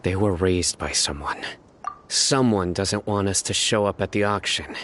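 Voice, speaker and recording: A man voicing a stern, watchful young enforcer in a video game, speaking in a measured, serious, terse way. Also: deep voice, fairly bright tone, slight background noise. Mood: suspicious, serious. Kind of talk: scripted video game dialogue.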